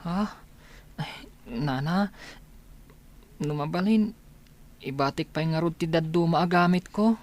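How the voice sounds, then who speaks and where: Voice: mid-range voice, slow pace, long pauses, darkish tone, no room sound, close to an everyday voice. A performer with an Ilocano Filipino accent acting a sad, meek, pleading young male student in a radio drama. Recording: clear background ambience noise.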